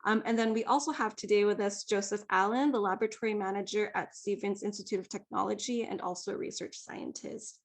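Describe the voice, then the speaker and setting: Woman librarian hosting a teen panel, polite, steady, fillers. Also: medium pitch, fairly quick, hardly any pauses. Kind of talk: event introduction.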